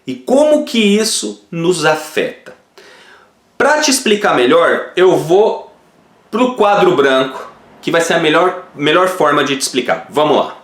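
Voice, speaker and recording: A man's voice: fairly high pitch, big pitch swings, a little slow, fairly bright tone, echoey room. A man in his forties from Brazil, teaching an online course at a whiteboard and often checking that the viewer is following. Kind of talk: explaining at a whiteboard. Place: indoor room with a whiteboard.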